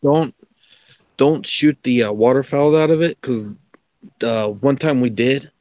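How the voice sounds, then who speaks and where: A man chatting casually as a livestream guest, with a halting, self-deprecating way of talking. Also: medium pitch, mellow, no echo. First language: English. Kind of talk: casual chat.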